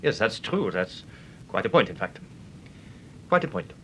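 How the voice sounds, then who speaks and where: A man's voice: on the low side, very animated, unhurried, fairly bright tone, a little hiss or hum. A man playing a confident, formal and rather pompous investigator in a radio drama. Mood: conceding. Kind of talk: British radio serial acting.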